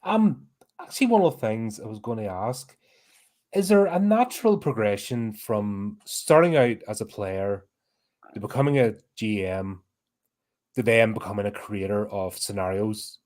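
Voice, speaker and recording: A man's voice: lowish voice, expressive, normal speed, darkish tone. A man hosting a podcast, easygoing and hesitant, with fillers. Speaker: podcast host. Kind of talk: casual podcast chat.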